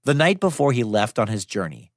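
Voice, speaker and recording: A man's voice: mid-range voice, very animated, a little fast, no room sound. A man narrating a scripted myth for children as a storyteller, in a dramatic but conversational way. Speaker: storyteller, podcast host. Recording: dry studio sound with music behind. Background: music.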